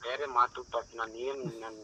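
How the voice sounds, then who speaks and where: A man's voice: mid-range voice, level tone, moderate pace, pushed and bright. A man in his twenties with a Karnataka accent answering a casual phone call in a puzzled, defensive manner. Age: 20s. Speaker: prank call target.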